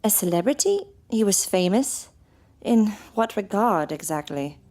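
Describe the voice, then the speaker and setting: A woman's voice: medium pitch, sing-song, unhurried, darkish tone. A woman playing a proper, scolding sister in an audio drama, speaking in a polite, formal, admonishing way. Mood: curious, admonishing.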